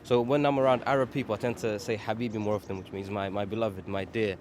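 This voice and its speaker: A man's voice: on the low side, fairly even intonation, quick pace, a little mellow, slight background noise. Man with Arabic as first language, musing. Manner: thoughtful, searching for words. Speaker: interviewee. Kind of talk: casual street interview answer.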